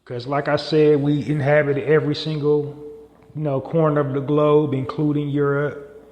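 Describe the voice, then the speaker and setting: A man's voice: on the high side, level tone, moderate pace, highs cut off. A man hosting a podcast solo, talking in a loose, rambling way with many fillers and restarts. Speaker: podcast host. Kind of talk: casual solo monologue. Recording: echoey room with long reverb.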